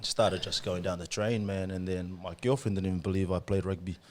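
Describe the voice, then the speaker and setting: A man's voice: low voice, steady tone, brisk, slight background noise. A man in his late twenties with a New Zealand accent, telling stories in a casual podcast chat, laughing often and dropping "eh" and "man". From Samoa, raised in New Zealand. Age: late 20s. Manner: laid-back, laughs often, uses "eh" and "man". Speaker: podcast guest.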